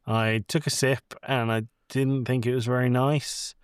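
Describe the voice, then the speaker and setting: A man's voice: mid-range voice, little pitch movement, a little slow, smooth, no echo, clean studio sound. A man co-hosting a casual podcast, giving blunt opinions in a conversational way with some swearing. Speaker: podcast co-host. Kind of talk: casual movie discussion.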